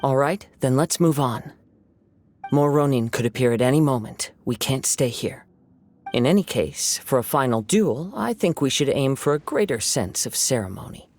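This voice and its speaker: A man voicing a calm, courteous young wandering samurai in a video game, composed and understated. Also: medium pitch, moderate pace, lightly acted. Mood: practical.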